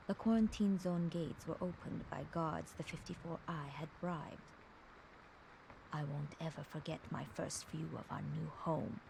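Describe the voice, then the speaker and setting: A woman's voice: low voice, gravelly, background noise. An actress playing a proud, fierce young woman enforcer, delivering a solemn, controlled dramatic monologue for a video game audio log.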